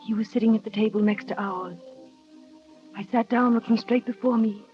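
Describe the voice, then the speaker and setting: An actress in a 1940s radio drama playing a shy nineteen-year-old companion, soft-spoken and wistful, narrating her memories. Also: on the high side, steady tone, moderate pace, natural voice. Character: shy young woman of nineteen, paid companion. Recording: dull old radio recording with music.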